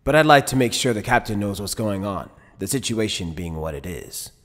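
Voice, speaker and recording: A man playing a laid-back, playful older military commander in an anime dub, speaking in an unhurried, mild way. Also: on the low side, expressive, a bit bright, long reverb tail. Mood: measured concern. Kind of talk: anime fan dub acting.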